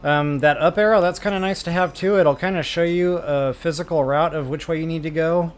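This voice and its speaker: A man's voice: fairly high pitch, steady tone, fairly quick. A man giving casual, rambling video game walkthrough commentary as a host. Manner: chatty, informal, rambling.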